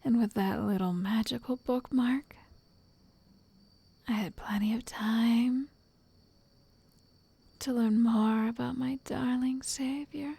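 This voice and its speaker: A woman playing a possessive, lovestruck witch who coaxes and controls in a soft, breathy, often whispered ASMR roleplay voice. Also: a little high, fairly even intonation, heavily acted. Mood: obsessive, confiding. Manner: slow, tender, condescending endearments.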